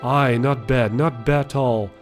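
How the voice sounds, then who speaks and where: Brief: a man playing a gruff one-armed army sergeant in an audiobook, folksy, low and chuckling. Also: steady tone, a little slow, faint music behind, close to an everyday voice. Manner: rough folksy dialect, chuckling.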